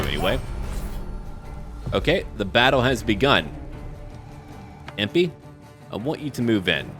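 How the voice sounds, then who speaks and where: A man hosting a roleplay video game playthrough, commenting and talking to the characters in first person. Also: fairly low pitch. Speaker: gaming video host. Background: game music.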